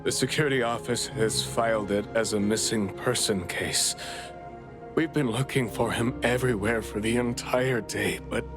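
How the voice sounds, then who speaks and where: A man's voice: mid-range voice, normal speed, lightly acted. An actor playing a worried, grateful father in a game cutscene, speaking in a hesitant, faltering way. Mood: anxious, weary. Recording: music behind the voice.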